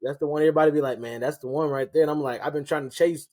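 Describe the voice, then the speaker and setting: A man hosting a casual interview, relaxed and slangy, often restarting his questions. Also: a little high, racing, no echo, clean studio sound. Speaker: interview host and rapper.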